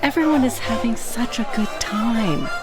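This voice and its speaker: An actress playing a genteel, placating mob wife in a period radio drama. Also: female voice, fairly high pitch, moderate pace, over loud music, lightly acted.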